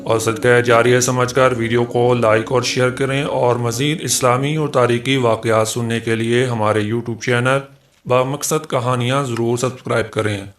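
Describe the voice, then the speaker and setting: Man narrating a religious story over music. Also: mid-range voice. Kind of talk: scripted narration of an Islamic story. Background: music behind the voice.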